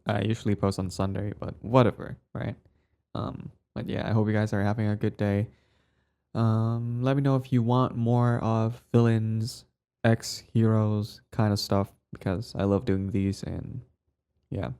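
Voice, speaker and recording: A man with a raspy voice, recovering from throat trouble, giving a casual, low-key update to his listeners as channel host. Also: little pitch movement, unhurried, mellow.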